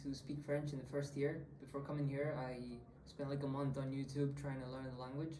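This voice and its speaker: A young man from India answers interview questions in a relaxed, halting way with many fillers. His voice is mellow and dark. The recording has a roomy echo and faint background noise. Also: mid-range voice. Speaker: student interviewee.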